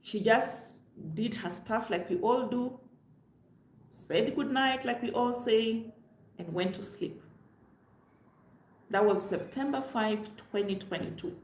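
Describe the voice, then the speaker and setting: A woman's voice: on the high side, slow pace, long pauses, echoey room. A woman with a Kenyan accent giving direct, emphatic advice to her viewers, talking from a seat in a room of her home. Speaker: travel vlogger. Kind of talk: direct-to-camera advice vlog. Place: room on the upper floor of her home, seated.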